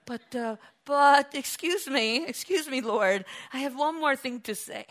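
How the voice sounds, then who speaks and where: A timid older male prophet who pleads haltingly and stumbles over his words, as a woman preacher acts him out. The voice sits high and bright, nervous rather than grand. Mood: pleading.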